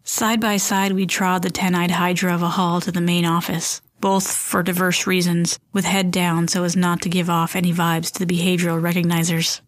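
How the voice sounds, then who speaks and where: Casting: woman as wry, distrustful narrator of surreal fiction podcast, slightly low register, literary delivery, dry studio sound. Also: flat delivery, moderate pace, hardly any pauses, no room sound, natural voice. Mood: brooding, wary. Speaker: storyteller and host of a fiction podcast. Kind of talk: scripted dramatic first-person narration.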